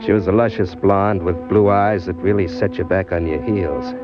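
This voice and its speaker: An actor playing a streetwise, boastful American ex-convict drifter who narrates in slangy hardboiled style in an old radio crime drama. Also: male voice, sits low, fairly even intonation, normal speed, close to an everyday voice. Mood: smitten. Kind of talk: old-time radio crime drama acting, first-person narration and dialogue. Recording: dull vintage radio recording, music behind.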